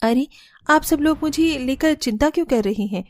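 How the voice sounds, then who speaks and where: Woman voicing a very high-pitched kind young bride. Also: moderate pace, no echo, natural voice. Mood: reassuring. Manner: earnest, dutiful. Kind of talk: Hindi cartoon story dialogue.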